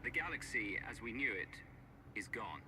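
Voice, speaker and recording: An actor playing a resolute commander who rallies an alliance in a sci-fi video game. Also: male voice, fairly low pitch, lively intonation, moderate pace, background noise, natural voice. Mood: solemn, rousing. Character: resolute male commander rallying a galactic alliance. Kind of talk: video game cutscene acting.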